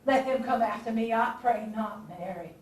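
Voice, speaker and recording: A woman's voice: fairly high pitch, normal speed, darkish tone, natural voice. An actress playing a spirited Southern woman spymaster of the Civil War in a stage play, telling her story with wry drama. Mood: fearful, resolute. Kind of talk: theatrical stage monologue and dialogue. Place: theatre stage. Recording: distant, echoey stage recording with noise.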